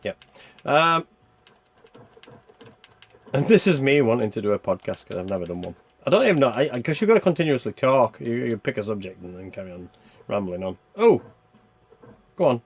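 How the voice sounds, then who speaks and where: A man reviews a video game while playing it, in a casual and rambling style. His voice is gravelly with a lot of fry, and his pitch moves around in a lively way. He thinks aloud as he goes. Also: moderate pace, pauses often. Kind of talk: casual gameplay commentary.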